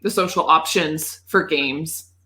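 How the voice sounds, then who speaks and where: Bright-voiced woman chatting casually. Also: low voice, slow pace. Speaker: podcast co-host. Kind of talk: casual group chat about video games.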